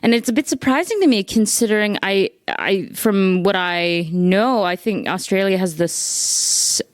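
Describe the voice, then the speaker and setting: A woman's voice: medium pitch, unhurried, no echo. A woman hosting a podcast, asking long, winding questions in a curious, conversational way. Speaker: podcast host.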